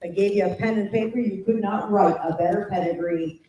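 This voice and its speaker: A woman's voice: medium pitch, brisk, lo-fi sound, a crowd in the background. An American woman working as a horse auction announcer, presenting each lot and reading out pedigrees. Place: large indoor livestock sale barn. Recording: echoey auction barn PA, distant.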